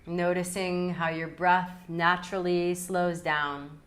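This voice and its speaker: A woman leading a guided yoga nidra meditation in a calm, soothing, unhurried way with long pauses. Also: lowish voice.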